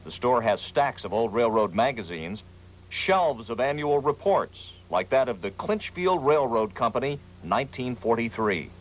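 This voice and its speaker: American TV reporter with lively, swooping delivery. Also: male voice, medium pitch, moderate pace, poor audio. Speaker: TV news reporter. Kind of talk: scripted feature narration. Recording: faint background noise, 1980s TV broadcast.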